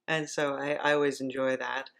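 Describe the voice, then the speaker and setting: A woman's voice: very deep voice, flat delivery, moderate pace, vocal fry, a bit bright. A woman hosting a podcast, interviewing a guest in a casual, conversational style. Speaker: podcast host.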